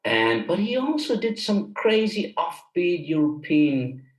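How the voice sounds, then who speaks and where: A man's voice: on the high side, big pitch swings, measured pace. A man from Germany talking casually as an interview guest, explaining things with enthusiasm as a film director and composer. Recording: roomy, echoey sound.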